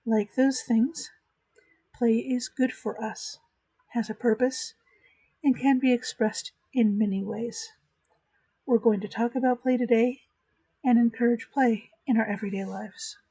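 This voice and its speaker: A woman hosting a virtual church chat, giving a calm, reflective spoken meditation. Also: fairly high pitch, unhurried, husky, no echo, low-quality recording.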